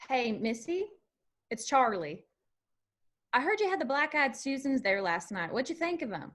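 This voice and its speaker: An actress playing a feisty young honky-tonk manager in a screenplay table read, with dry, quick-witted retorts. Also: female voice, sits high, sing-song, a bit bright.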